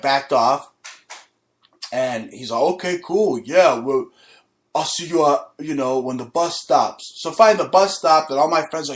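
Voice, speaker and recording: A man hosting a talk show, ranting aggressively with lots of swearing and telling a boastful story from his school days. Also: mid-range voice. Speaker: show host. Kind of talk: profane comedic rant and storytelling.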